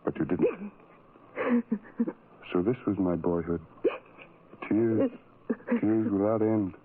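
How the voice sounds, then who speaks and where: A man's voice: lowish voice, wildly swooping pitch, slow pace, narrow, tinny audio, a little hiss or hum, heavily acted. An actor playing a tormented, self-loathing ugly man who narrates his life in anguished, brooding, repetitive phrases, in a 1970s radio drama style. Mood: bitter reproach, then brooding, sorrowful. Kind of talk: 1970s radio drama acting, first-person monologue. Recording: thin vintage radio sound, music underneath.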